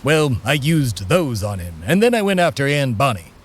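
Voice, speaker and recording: A man playing an immortal figure who embodies America, calmly telling outrageous stories in a matter-of-fact, deadpan way with dramatic pauses in a comedy audio drama. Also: medium pitch, breathy edge. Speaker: co-host character.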